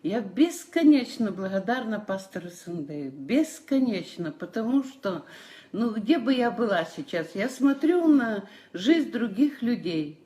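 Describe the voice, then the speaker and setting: A woman's voice: medium pitch, sing-song, unhurried, mellow. A woman, giving a personal testimony as a church member. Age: 60s.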